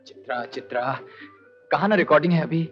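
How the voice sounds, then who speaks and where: Brief: man as a cocky young singer, blunt and gruff with pals, on dull old film audio. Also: on the high side, fairly quick, faint music behind, lightly acted. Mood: easygoing. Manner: casual, blunt, joking threats. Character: brash young male star singer, gruff with friends. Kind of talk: 1970s film dialogue.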